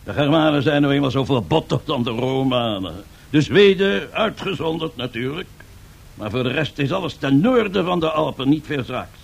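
An actor playing a pompous, boastful Italian fascist dictator in a radio drama, husky and given to grandiose speechmaking. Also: male voice, fairly high pitch, animated, normal speed, heavily acted. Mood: self-satisfied. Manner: grandiose, self-satisfied, rhetorical speechmaking. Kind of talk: 1970s radio drama acting.

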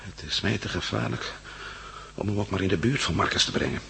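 An actor playing a battered, gloomy male prisoner in a radio drama, halting and wry. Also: fairly low pitch, creaky, pushed and bright, husky, exaggerated voice. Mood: gloomy, defiant.

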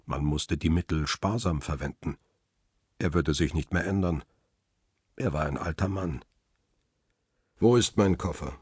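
A man's voice: very deep voice, unhurried, long pauses, darkish tone, husky, dry recording, clean studio sound. A man narrating a crime radio play in the first person and playing its brooding lead.

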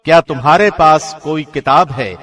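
Solemn man reading scripture, slightly high voice. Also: a bit bright, faint music behind. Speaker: translation reader, professional radio host.

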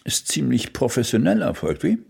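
A male actor in a German radio crime drama playing a sardonic police investigator who questions pointedly, with a gravelly, husky voice. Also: mid-range voice, wildly swooping pitch, normal speed, a little mellow, heavily acted. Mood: stern, sardonic.

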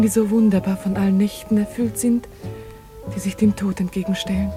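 An actress playing a devoted, dreamy young secretary in a German radio drama, speaking softly and breathily with hushed reverence for her master. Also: on the high side, lightly acted. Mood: rapt, adoring. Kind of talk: German radio drama acting, literary and intimate.

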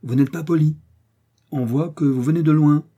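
A man's voice: medium pitch, fairly quick, mellow, husky, lo-fi sound, lightly acted. A man reading aloud and voicing a proud, cold male musketeer who speaks in a haughty, menacing manner.

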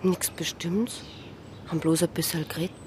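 A woman's voice: lowish voice, fairly even intonation, moderate pace, a little mellow, a little hiss or hum, lightly acted. An actress playing a wry, unflappable policewoman with a Bavarian accent in a radio crime drama, speaking colloquially. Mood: coolly evasive. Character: female Bavarian police officer, dry, quick-witted, unflappable. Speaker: police officer.

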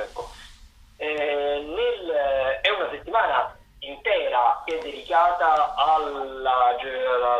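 Halting, bright-voiced man in echoey sound. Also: medium pitch, dull audio. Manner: halting, hesitant, searching for words. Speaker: radio theatre correspondent. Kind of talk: spoken listings of theatre and dance events. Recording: roomy echo, faint background noise.

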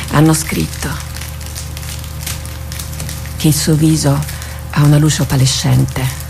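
An actress playing a proud, seasoned woman warrior captain in a radio drama, wry and resolute, speaking formally and deliberately. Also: low voice, creaky, dark tone, exaggerated voice. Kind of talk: theatrical radio drama acting. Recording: studio radio drama with music underneath.

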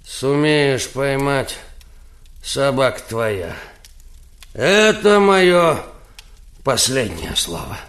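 A man's voice: medium pitch, sing-song, a bit bright, slight background noise, heavily acted. Drunken man, dragging every word. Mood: drunk, stubborn. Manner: slow, drawn-out drunken speech. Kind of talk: audio drama acting.

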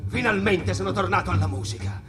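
A fervent jazz musician pours out an insistent stage monologue. His voice is high for a man and swings widely, with jazz playing loudly behind him. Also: big pitch swings, a little fast. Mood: exalted. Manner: impassioned, insistent. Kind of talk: theatrical dramatic monologue over jazz. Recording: loud music bed under the voice.

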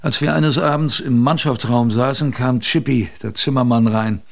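A man's voice: mid-range voice, fairly even intonation, moderate pace, gravelly, natural voice. An actor playing a former ship's kitchen boy who reminisces in a colloquial, confiding way as a radio drama narrator. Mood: reminiscing. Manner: colloquial, vivid, confiding storytelling.

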